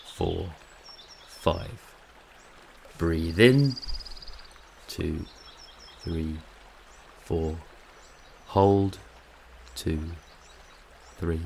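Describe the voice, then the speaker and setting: A man narrating a scripted guided meditation in a calm, soothing, measured way, with long pauses. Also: deep voice, creaky, slight background noise.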